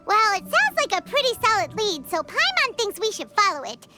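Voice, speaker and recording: A woman voicing a small childlike fairy companion in a video game, squeaky and excitable, referring to herself in the third person. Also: moderate pace, over loud music. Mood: chatty, confident. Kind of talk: video game character acting.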